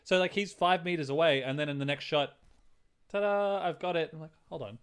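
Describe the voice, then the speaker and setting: Man with a lightly raised pitch, chatting. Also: fairly quick, clean tone. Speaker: podcast co-host. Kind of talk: casual movie and game chat.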